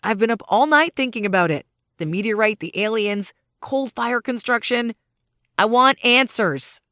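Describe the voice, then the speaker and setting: A woman's voice: fairly high pitch, moderate pace, bright, forceful tone, no room sound, studio quality. A woman playing an anxious, determined woman investigating a conspiracy, in an audio drama. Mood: agitated.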